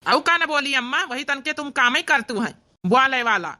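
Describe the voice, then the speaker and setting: A woman acts an outspoken village man in a cartoon comedy. The voice is fairly high and forceful, with an Awadhi accent. He argues and reproaches, protective of his sister. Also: lively intonation, moderate pace, exaggerated voice. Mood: reproachful. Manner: argumentative, reproachful. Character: outspoken, protective grown son and elder brother, village man.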